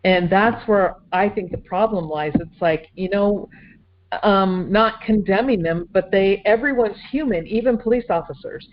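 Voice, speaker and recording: A woman with a mid-pitched voice chats casually in a group discussion. The audio is slightly muffled, as on a lo-fi conference call. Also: normal speed. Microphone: phone conference call.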